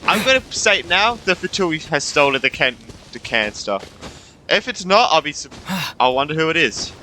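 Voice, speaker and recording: A man's voice: on the high side, big pitch swings, normal speed, sharp tone, a little hiss or hum. A man giving casual, off-the-cuff gameplay commentary as a streamer, reacting and swearing freely. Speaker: gaming streamer. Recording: faint game music behind.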